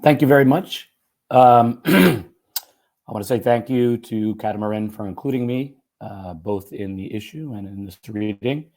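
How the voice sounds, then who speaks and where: A slightly hoarse man reading a first-person short story aloud in an expressive, conversational, folksy way. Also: on the low side, moderate pace. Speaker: writer and teacher reading own short story.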